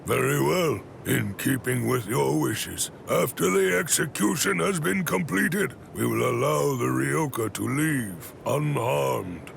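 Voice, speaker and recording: An actor playing an ancient, stern head captain in an anime game, with a gravelly voice and a formal, authoritative, measured delivery. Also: male voice, mid-range voice, lively intonation, slight background noise, full character voice. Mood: solemn. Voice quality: gravelly, creaky. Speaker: video game character voice actor. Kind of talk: scripted anime game dialogue. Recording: music behind the voice.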